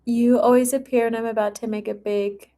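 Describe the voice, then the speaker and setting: A woman's voice: on the high side, flat delivery, fairly quick, mellow. A woman chatting casually and informally with viewers as she hosts a live tarot reading. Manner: chatty, informal, often breaking into giggles. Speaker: tarot reader hosting a livestream. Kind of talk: casual livestream chat with viewers.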